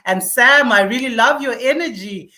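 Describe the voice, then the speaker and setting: A woman's voice: fairly high pitch, normal speed, pushed and bright. A woman on a radio panel, chatting in a bubbly way and laughing easily. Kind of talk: casual chat on a radio panel. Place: in Milwaukee, Wisconsin.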